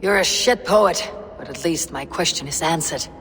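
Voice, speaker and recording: An actress playing a steely, blunt Viking warrior in a video game, speaking in a terse, dry, defiant way. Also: female voice, low-pitched, normal speed, a bit bright. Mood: defiant, scornful. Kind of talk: dramatic video game acting. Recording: long hall reverb, faint background noise.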